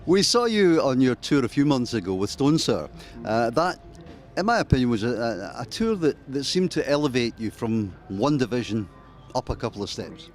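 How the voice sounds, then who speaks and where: A man from Scotland with a Glasgow accent, asking interview questions in a friendly, halting way. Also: medium pitch, big pitch swings. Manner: friendly, halting, with many restarts. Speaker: music interviewer. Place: outdoors at a music festival. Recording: faint outdoor festival noise.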